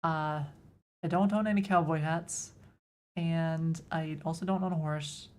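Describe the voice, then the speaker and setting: A woman's voice: low-pitched, steady tone, a little slow. A woman from Texas with a Texan accent, chatting casually with her live chat while she codes. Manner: chatty, answers chat questions, self-deprecating. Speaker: coding streamer.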